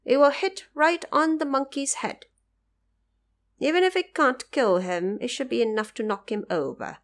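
A woman plays a composed divine being in a fantasy audio drama. The deity speaks in a measured, assured way, as one offering help. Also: sits high, animated, voice pushed up. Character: composed, helpful Bodhisattva deity laying out a plan.

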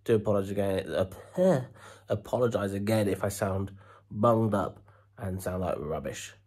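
A man's voice: fairly low pitch, normal speed, a little mellow, no room sound. A British man in his thirties with a congested head cold, chatting casually to a handheld camera at home about reselling. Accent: British English. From United Kingdom. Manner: chatty, upbeat patter with slang. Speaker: eBay reseller vlogger. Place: home storage room and parked car, indoors. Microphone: handheld camera at arm's length.

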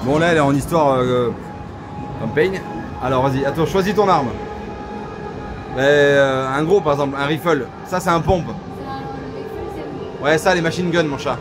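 A man's voice: on the high side, moderate pace, pauses often, low-quality recording. A man vlogging casually and enthusiastically, using informal slang. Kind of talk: casual chat to camera. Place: large indoor arcade. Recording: loud arcade music and machine din behind.

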